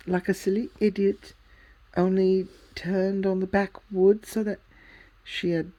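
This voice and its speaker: A woman's voice: mid-range voice, warm tone, slightly rough, pitched above the performer's own voice, rough recording. Woman doing a prim, sarcastic young girl. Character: sharp-tongued proper young English girl.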